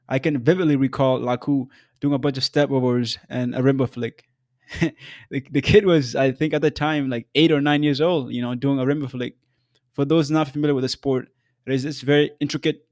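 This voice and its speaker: A man's voice: medium pitch, a little mellow, no room sound. Earnest young man, dry close sound. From South Sudan. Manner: measured, earnest delivery with occasional um and restarts. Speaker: podcast host. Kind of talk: scripted solo podcast narration.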